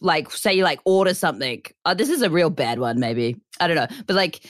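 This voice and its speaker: A low-pitched woman with a nasal voice, talking in a rambling, swear-laden way. Her pitch swoops all over as she chats. The recording is dry and close. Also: big pitch swings, brisk, dry recording. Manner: rambling, laughs often, swears. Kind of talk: casual chat.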